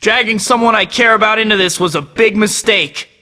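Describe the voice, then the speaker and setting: A man's voice: high-pitched, a little fast, bright, forceful tone, long reverb tail. An actor voicing a young male superhero who tosses out sarcastic taunts in a video game. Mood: angry. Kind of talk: game voice clips.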